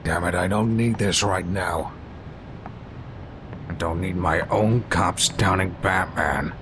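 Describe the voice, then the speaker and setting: A man playing a weary, gruff police commissioner in a motion comic, with a blunt, world-weary way of talking. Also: sits low, slow, background noise. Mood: weary, irritated. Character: weary, gruff male police commissioner, middle-aged or older. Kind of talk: dramatic motion comic acting. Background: music.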